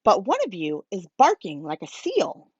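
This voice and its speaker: A woman's voice: medium pitch, wildly swooping pitch, moderate pace, husky, dry recording, dull audio. A woman voicing a kindly but firm female schoolteacher in a children's storybook. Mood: brisk, firm.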